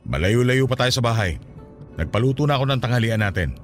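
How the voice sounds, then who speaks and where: A man's voice: mid-range voice, lively intonation, fast talker, faint music behind, lightly acted. A Filipino man acting a concerned, questioning, business-minded partner in a radio drama. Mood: caring, probing. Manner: questioning, solicitous.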